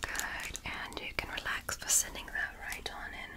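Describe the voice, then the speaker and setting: A woman whispering in ASMR roleplay as a curious, gentle alien doctor examining a human, speaking slowly and clinically with a breathy whisper up close to the mic. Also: natural voice. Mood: soothing curious. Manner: slow, soft, clinical and fascinated. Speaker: ASMR creator. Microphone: close sensitive microphone.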